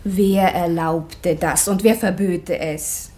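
An actress playing a loyal, sharp-tongued maidservant in a German radio play, speaking precisely and dryly, respectful yet pert. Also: female voice, fairly low pitch, fairly even intonation, slow pace. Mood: coaxing, pitying. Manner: dry, respectful but pert, precise stage diction.

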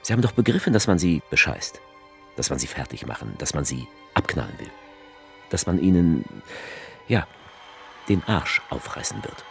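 An actor playing a brooding, sardonic author who narrates a radio play in the first person. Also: male voice, extremely low pitch, big pitch swings, normal speed, gravelly, a bit bright, soft background music, heavily acted. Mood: accusing, bitter. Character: brooding male narrator-author, sensual, darkly sardonic witness.

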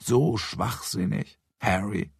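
A man's voice: fairly low pitch, takes it slowly, creaky, husky, dry recording, studio quality, full character voice. A man voicing a baffled, courteous police superintendent in an audiobook, hesitant, restrained and questioning. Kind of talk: audiobook character dialogue.

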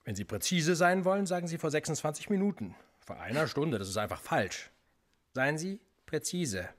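An actor playing a cold, precise police interrogator in a radio play, pedantic and insinuating. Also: male voice, on the high side, sing-song, normal speed. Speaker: police officer interrogating a suspect.

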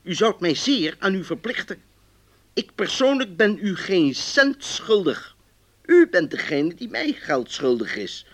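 A man's voice: high-pitched, sing-song, unhurried, heavily acted. An actor playing a polite, increasingly exasperated man reading out his formal complaint letters in a radio drama. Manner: formal, emphatic.

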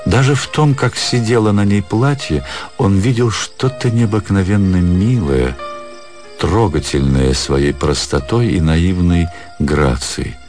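Casting: male storyteller for radio drama, deep bass register, scripted literary narration, soft music bed. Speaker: narrator, actor reading a story. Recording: faint music behind the narration.

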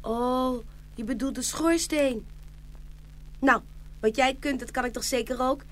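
A very high, girlish voice for a sweet porcelain shepherdess. She is devoted, easily frightened and pleading, in the manner of a storybook radio play. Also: fairly even intonation, unhurried, fairly bright tone. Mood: eager. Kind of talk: audio fairy-tale drama acting.

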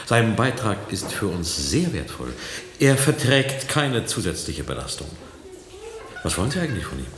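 In a long, noisy reverb, a formal, evasive doctor for a science-fiction radio play. His lowish voice moves widely in pitch and sounds halting and dismissive. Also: very animated, fairly bright tone. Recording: reverberant hall with clear background noise.

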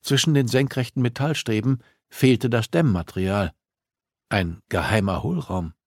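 A man's voice: medium pitch, animated, measured pace, no room sound, studio quality. A man reading a suspenseful story as an audiobook narrator, in scripted, dramatic narration.

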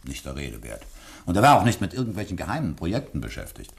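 An actor playing a matter-of-fact man reporting on a case in a radio play, in a measured, dry, explanatory way. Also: low voice, huge pitch swings, gravelly, exaggerated voice.

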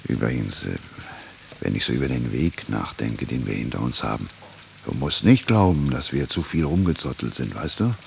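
An actor playing a scruffy, cocky, optimistic adventurer on the run in a radio play, chummy and given to boastful rambling. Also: male voice, rumbling low, lively intonation, normal speed, gravelly, a little hiss or hum, heavily acted. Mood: chummy, musing.

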